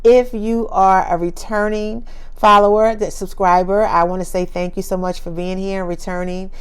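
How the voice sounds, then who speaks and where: Woman, 50s, channel host, casual talk to camera, smooth resonant voice, middle pitch. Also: little pitch movement, normal speed. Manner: chatty and upbeat, laughs often, repeats phrases for emphasis. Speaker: speaker and author hosting her own channel.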